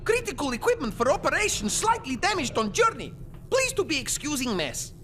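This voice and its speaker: A woman's voice: sits high, wildly swooping pitch, a little fast, fairly bright tone, full character voice. A woman playing an earnest, cheerful space dog in a video game, with a Russian accent and broken English. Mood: upbeat, earnest. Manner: broken English, formal and upbeat. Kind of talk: video game cutscene acting. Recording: music behind the voice.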